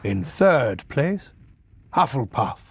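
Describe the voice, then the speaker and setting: An actor playing a kindly, dryly witty elderly wizard headmaster in a video game, measured and ceremonious, with a creaky voice. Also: male voice, medium pitch, big pitch swings, background noise, exaggerated voice. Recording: loud music behind the voice. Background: music.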